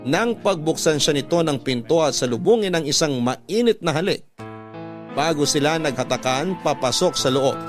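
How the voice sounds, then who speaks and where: A man's voice: on the high side, lightly acted. A man playing the confiding narrator of a lover's letter in a Filipino radio drama, telling it in a scripted dramatic style. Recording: instrumental music bed behind the voice.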